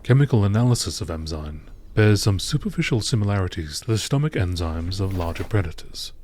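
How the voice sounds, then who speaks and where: Man, deep low pitch, scripted nature documentary narration, measured, clinical, matter-of-fact. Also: expressive, a little mellow. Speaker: narrator reading game lore entries.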